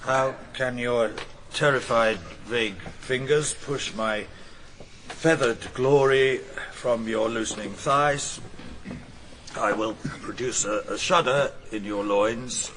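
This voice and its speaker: Smug, bright-voiced English witness. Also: male voice, mid-range voice, takes it slowly, slight background noise. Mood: uneasy recital. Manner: self-assured, formal, slightly pompous. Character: smug, cultured middle-aged Englishman testifying in court. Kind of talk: radio drama courtroom acting.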